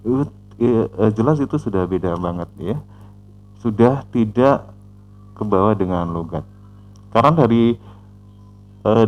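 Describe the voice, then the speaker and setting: A man with a very low, deep voice talks through his commentary slowly and methodically. He leans on hesitant fillers and adds a soft "ya" to the end of his points. A faint hum sits under the recording. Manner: measured, explanatory, frequent "eh" fillers and "ya" tags. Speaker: reaction channel host. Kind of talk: commentary on a video.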